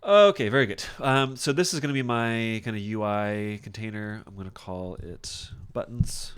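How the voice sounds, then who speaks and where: Low-voiced man giving a casual software tutorial. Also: normal speed, clean tone. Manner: informal, thinking aloud while demonstrating. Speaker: software instructor. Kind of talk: casual screen-share tutorial.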